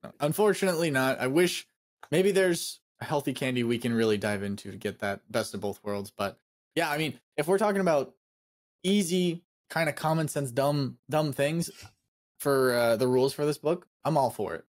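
A man's voice: medium pitch, expressive, normal speed. A man, chatting casually and agreeably as a podcast co-host. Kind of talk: casual chat. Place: home room, indoors.

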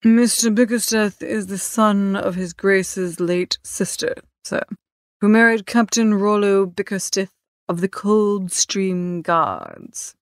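A woman voicing a deferential male English valet in an audiobook, formal, measured and unruffled. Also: medium pitch, dry recording, studio quality. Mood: composed, deferential. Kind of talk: audiobook character acting.

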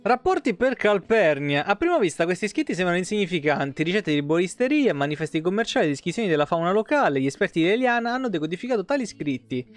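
A man's voice: high voice, very animated, fast talker, hardly any pauses, dry recording. A man, reading game lore aloud and breaking off for informal asides as a live streamer. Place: indoor home streaming setup. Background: soft plucked guitar game music.

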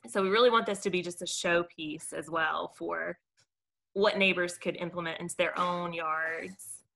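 Woman, American accent, bright and forceful voice, presenting informally on a video call as a garden caretaker. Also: on the low side, fairly even intonation, a little slow, gravelly. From Mobile, Alabama, United States.

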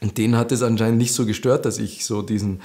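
Chatty Lower Bavarian man storytelling. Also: fast. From Lower Bavaria, Germany. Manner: chatty, animated, gestures with hands. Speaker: host. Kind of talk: personal storytelling to camera. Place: living room indoors.

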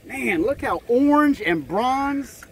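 High-pitched American man on a noisy boat. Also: expressive, slow pace, fairly bright tone. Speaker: fishing vlog host. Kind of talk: casual running commentary while fishing. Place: outdoors on a small boat on open water. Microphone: handheld camera or phone. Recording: noisy outdoor boat and water sound.

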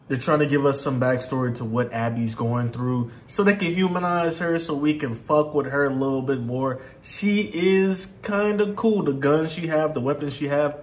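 A man's voice: medium pitch, moderate pace. A man from Louisiana, giving laid-back, profane let's-play commentary. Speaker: gaming channel host.

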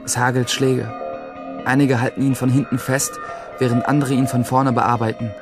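A man's voice: mid-range voice, little pitch movement, moderate pace, lightly acted. Brooding schoolboy, played by a man, over music. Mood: detached, tense. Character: brooding, detached teenage schoolboy narrating his day. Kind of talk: first-person radio drama narration. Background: music under the voice.

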